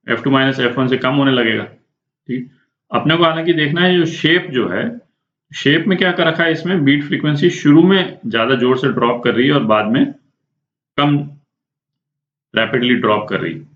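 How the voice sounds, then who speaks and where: A man's voice: medium pitch, slight background noise. An Indian man teaches like a physics tutor working through a problem aloud. He explains informally, often checking in and asking himself questions. The sound is roomy and somewhat muffled. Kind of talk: spoken lesson working through a problem step by step. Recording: echoey room, dull muffled sound.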